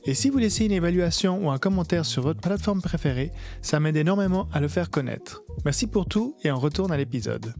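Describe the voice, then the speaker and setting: A man's voice: a little high, animated, brisk, hardly any pauses, a little mellow, soft background music. A man hosting a podcast, asking short questions and chatting in an easygoing way. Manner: brief backchannels, easygoing. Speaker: podcast host. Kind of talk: casual interview.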